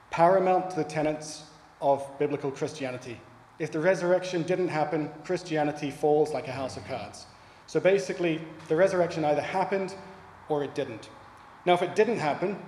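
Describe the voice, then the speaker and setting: A man's voice: on the high side, darkish tone. New Zealand man in an echoey hall. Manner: plain, wry and self-deprecating, clears throat. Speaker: church speaker giving testimony. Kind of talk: prepared personal testimony talk. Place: church hall. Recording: echoey hall with long reverb and faint ambience.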